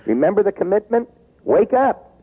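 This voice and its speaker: A man in his sixties talking in a taped interview, acting out quoted pleas and dropping low when he turns reflective. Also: sing-song, moderate pace, husky. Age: 60s. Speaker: business author guest. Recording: tinny phone-like tape.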